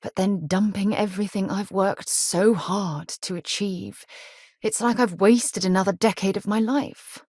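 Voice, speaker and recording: An actress playing an earnest, principled woman space explorer and leader in a video game, with a crisp, articulate, slightly husky delivery. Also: mid-range voice, measured pace, a little mellow, no echo, clean studio sound. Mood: frustrated, angry. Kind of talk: scripted game dialogue.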